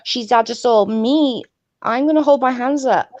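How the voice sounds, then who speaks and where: Woman on a video call, kinda high voice, stutters, pleading, super dry sound. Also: normal speed, a little mellow, dry recording, dull audio. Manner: earnest, pleading, says she stutters. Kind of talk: heated casual chat.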